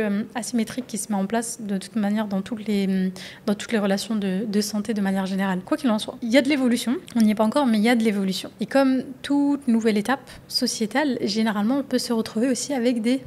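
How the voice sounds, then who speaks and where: A woman's voice: on the high side, a little mellow, a little hiss or hum. A young woman psychologist hosting her own podcast, talking thoughtfully and wandering off track with frequent restarts and fillers. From France. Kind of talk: solo podcast monologue.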